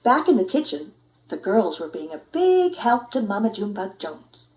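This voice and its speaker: A woman narrating a children's story in an expressive read-aloud style. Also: mid-range voice, moderate pace, far from the mic. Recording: roomy indoor echo.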